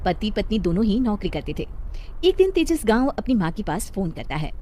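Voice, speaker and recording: A woman's voice: medium pitch, sing-song, brisk, dark tone. A woman reading a scripted story as its narrator.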